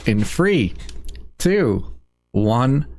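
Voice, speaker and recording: A man's voice: mid-range voice, very animated, extremely slow, a little mellow. A man giving casual live commentary as a speedrunner.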